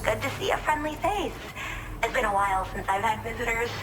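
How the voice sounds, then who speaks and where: Woman acting an eager high-voiced researcher. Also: lively intonation, a little fast, background noise, lightly acted. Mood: delighted. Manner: enthusiastic, chatty, expository. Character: eager, friendly female researcher living in a shelter. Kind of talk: video game character dialogue. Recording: music behind the voice.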